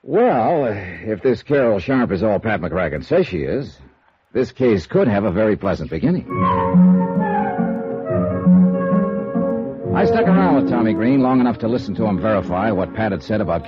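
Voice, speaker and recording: An American actor playing a wry, brash, hard-boiled insurance investigator in a 1950s radio noir drama. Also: male voice, lowish voice. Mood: wry narrating. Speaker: lead actor of a radio detective series. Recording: muffled vintage radio recording with music.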